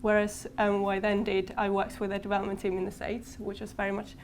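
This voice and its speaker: A woman whose first language is Finnish, speaking as a podcast guest at a conference and pausing often with thoughtful ums. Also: medium pitch, monotone, slight background noise. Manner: thoughtful, hesitant with frequent ums. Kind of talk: conversational podcast interview. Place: conference venue.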